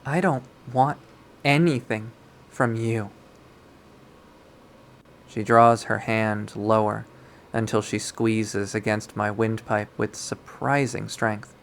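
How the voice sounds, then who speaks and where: A man's voice: lowish voice, a bit bright, slight background noise. A man reading a visual novel aloud as dramatic first-person story narration.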